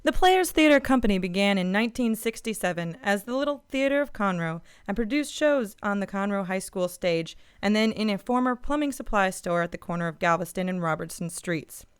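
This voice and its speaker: A woman's voice: mid-range voice, expressive, fairly quick, hardly any pauses, smooth, dry recording. Clean, dry-sounding woman announcer. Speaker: sponsor announcer. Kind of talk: scripted narration.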